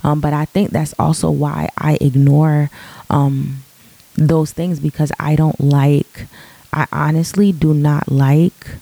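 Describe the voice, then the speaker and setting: A woman with a deep, raspy morning voice, speaking in an earnest, reflective way in a casual podcast monologue, full of ums and restarts. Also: little pitch movement, slow, warm tone, no room sound.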